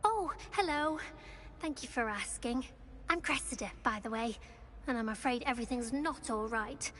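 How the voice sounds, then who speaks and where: A woman's voice: on the high side, expressive, normal speed, fairly bright tone, a little hiss or hum. A woman voicing a polite, flustered teenage witch student with a British accent in a video game, chatty and apologetic. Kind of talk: scripted video game dialogue.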